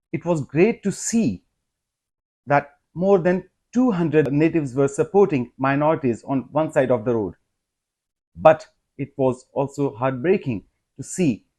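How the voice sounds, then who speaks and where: Slow, earnest man. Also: on the high side, a little mellow. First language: not English. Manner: earnest, measured, rising to emphatic appeals. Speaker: vlogger. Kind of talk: personal vlog monologue.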